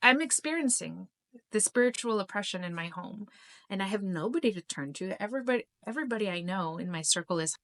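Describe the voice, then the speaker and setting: A middle-aged woman recounts a personal story to camera in a halting, emotional way, often restarting mid-sentence. Her voice sits at an ordinary pitch for a woman. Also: lively intonation, a bit bright. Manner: emotional, halting, many restarts and self-interruptions. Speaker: spiritual YouTube channel host.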